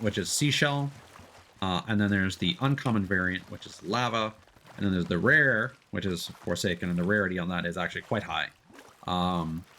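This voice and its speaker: A man casually explaining game mechanics on a gaming stream in a halting, rambling way. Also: deep voice, pushed and bright, slight background noise.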